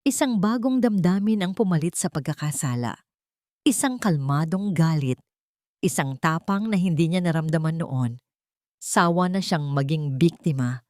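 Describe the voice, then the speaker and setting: A woman's voice: sits low, lively intonation, moderate pace, a little mellow, no room sound, clean studio sound. A woman reading a scripted dramatic story as its narrator.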